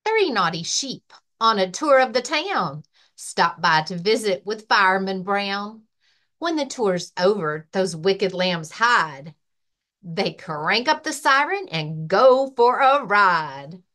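A woman librarian reading a children's rhyming picture book aloud with expressive, rhythmic delivery. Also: medium pitch, takes it slowly. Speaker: librarian and story-time reader.

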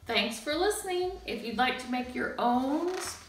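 Roomy, very animated woman reading a storybook. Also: high voice. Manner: expressive, dramatic pauses. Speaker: storybook reader. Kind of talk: animated children's read-aloud narration. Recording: roomy, distant mic, music bed.

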